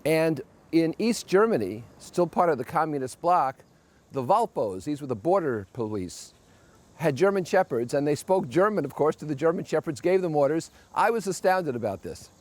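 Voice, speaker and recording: A man's voice: a little high, expressive, normal speed, a little mellow. An older man from New Jersey with a New York accent, giving an emphatic, opinionated monologue with wry asides as a podcast host. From New Jersey, United States.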